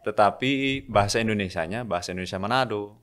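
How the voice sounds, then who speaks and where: A young man with a smooth, resonant and fairly low voice, speaking as a guest on a podcast. He tells his story in a soft-spoken, measured way. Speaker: guest, a seminarian. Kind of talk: casual podcast interview.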